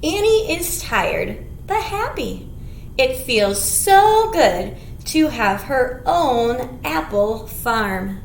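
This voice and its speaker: A woman's voice: a little high, sing-song, drawn-out words. A woman leading a storytime for small children as a librarian, reading aloud and singing in simple, sing-song phrases. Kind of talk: children's storytime read-aloud with songs and rhymes. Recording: echoey room, clear background noise.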